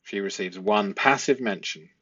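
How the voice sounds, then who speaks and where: A man giving analytical commentary to viewers as a host, speaking emphatically and deliberately, often with rhetorical tag questions. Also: lowish voice, bright, forceful tone, slightly rough, dull audio. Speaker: host analysing a police interview.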